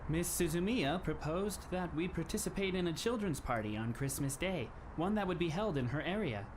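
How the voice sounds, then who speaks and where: A man in an anime fan dub playing a polite, composed teenage schoolboy who speaks in formal, measured phrases with a faintly wry touch. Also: on the high side, lively intonation, background noise, lightly acted. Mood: calm explaining.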